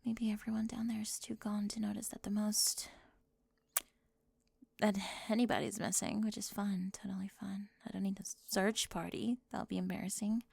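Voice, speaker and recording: A woman's voice: medium pitch, flat delivery, normal speed, vocal fry, a little mellow, breathy edge, no echo. A woman playing a sarcastic young cheerleader bully. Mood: defensive. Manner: sarcastic, prickly, defensive, often whispering. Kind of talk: ASMR roleplay.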